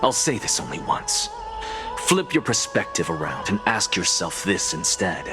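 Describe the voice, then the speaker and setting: An actor voicing a haughty, aloof male professor in a game, speaking in a measured, condescending way with a deep, dry voice. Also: sing-song, over music, heavily acted. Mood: stern. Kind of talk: video game voice acting.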